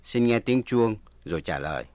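Male Vietnamese lesson presenter, lower-pitched, scripted instructional delivery, dry studio sound. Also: animated, a little fast, a little mellow, no echo. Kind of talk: scripted language lesson narration.

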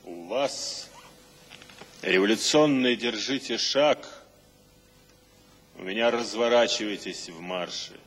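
An actor playing a forceful, mocking husky-voiced man in a radio drama. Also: lowish voice, slow, pauses often, exaggerated voice. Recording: long hall reverb.

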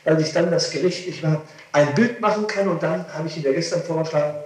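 A man's voice: fairly high pitch, quick pace, darkish tone. A man speaking as a lawyer advising his client, insistent and placating. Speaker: defense lawyer. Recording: very echoey, noisy archival tape, distant mic.

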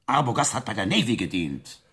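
An actor playing a cynical, brash intelligence officer in a radio drama, with a rough voice and sardonic emphasis. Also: male voice, fairly low pitch, big pitch swings, fairly quick, pushed and bright, full character voice. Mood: irritated.

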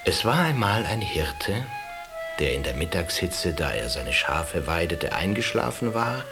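A man's voice: low voice, big pitch swings, unhurried, creaky, music in the background, heavily acted. An actor in a German radio play voicing an educated, opinionated man who tells stories vividly in an emphatic, sardonic manner. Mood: wry, calm.

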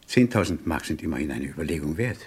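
An actor playing a menacing gangster boss in a German radio crime play, mockingly courteous and smooth-talking with a gravelly voice. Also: male voice, extremely low pitch, expressive, full character voice. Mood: sly persuasion. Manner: ironically polite, smooth-talking, unhurried menace.

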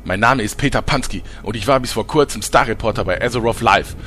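A man's voice: mid-range voice, fast talker, fairly bright tone, natural voice. Guy playing bitter ex-reporter armor seller, fussy and indignant, comedy radio play, faint background hiss. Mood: self-pitying. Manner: fussy, indignant, insists on haggling. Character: bitter ex-reporter turned haggling armor merchant.

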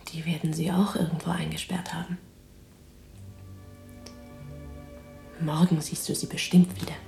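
An actress playing a weary but defiant, tender imprisoned resistance fighter in a German radio drama, speaking in a breathy, halting, intimate hush. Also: female voice, low-pitched, flat delivery, echoey room, faint music behind. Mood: bitter, consoling. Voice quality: breathy whispering. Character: exhausted, defiant, tender imprisoned resistance woman in wartime Netherlands.

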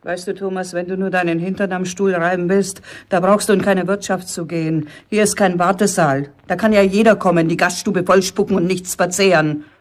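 A woman's voice: lowish voice, steady tone, a little fast, hardly any pauses, lightly acted. An actress playing a middle-aged pub landlady and strict mother in a German radio play, brusque, nagging and colloquial.

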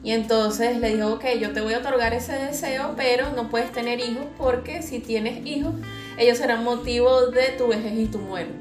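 A Venezuelan woman with a slightly high voice chats casually as a podcast co-host. She sounds roomy and echoey, with guitar music clearly audible behind her. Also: fairly even intonation, normal speed. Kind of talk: casual chat.

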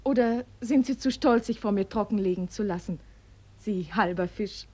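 A woman's voice: mid-range voice, normal speed, darkish tone, lightly acted. An actress in a radio play as a kind young woman, coaxing, playful and insistent. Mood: coaxing, teasing. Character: kind, teasing young woman, lively and forthright. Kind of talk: German radio play acting.

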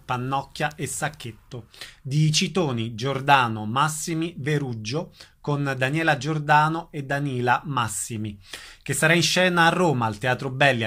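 A man's voice: mid-range voice, a bit bright. A man working as a radio announcer, reading a scripted introduction to a programme.

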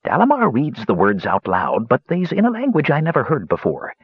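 A male narrator acting out a battle-weary old dwarf hermit for a fantasy story, coarse and grumpy. His rustic, ungrammatical talk is pitched up high and leaps about, with a husky edge on a dull recording. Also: wildly swooping pitch, fairly quick, dry recording. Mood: storytelling. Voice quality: coarse, growling. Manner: folksy, rustic, ungrammatical speech. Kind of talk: fantasy audiobook character voice.

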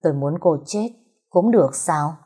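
A woman's voice: low voice, expressive, moderate pace, dark tone, husky. A woman voicing a resentful young love rival, cold, sarcastic and taunting, in an audio story. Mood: cold, mocking.

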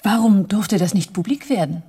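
An actress playing a direct, guarded and inquisitive woman with a husky, mellow voice in a radio drama. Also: mid-range voice, moderate pace. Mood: questioning, guarded.

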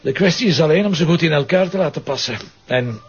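An actor playing a confident, brooding Flemish police investigator in a crime drama, speaking deliberately. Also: male voice, a little high, expressive, husky. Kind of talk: broadcast crime drama acting. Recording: dull lo-fi sound.

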